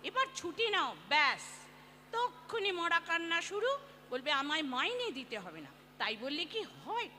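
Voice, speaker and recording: A woman's voice: very high voice, sharp tone, a little hiss or hum, full character voice. A woman acting a flustered, exasperated middle-aged housewife in a play reading, theatrical and prone to evasive stammering.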